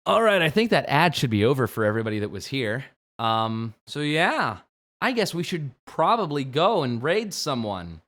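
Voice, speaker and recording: A man in his twenties, a game streamer, chatting jokily on a late-night livestream and jumping from low mumbles to sudden shouts. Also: very animated, normal speed. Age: 20s. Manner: playful, jokey, swings from mumbling to sudden shouts.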